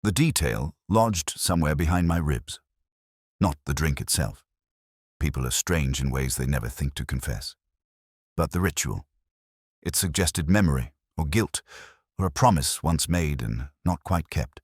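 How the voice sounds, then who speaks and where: A man narrating a first-person detective story in a measured, reflective, literary manner. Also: rumbling low, animated, breathy edge, no echo, studio quality.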